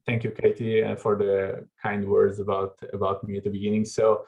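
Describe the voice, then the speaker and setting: A fairly low, flat-voiced man from Portugal delivers a keynote over a video call, pausing on fillers and restarting his phrases. The audio is dull and lo-fi. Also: vocal fry. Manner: measured, with frequent fillers and restarts. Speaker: keynote speaker. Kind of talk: online conference presentation.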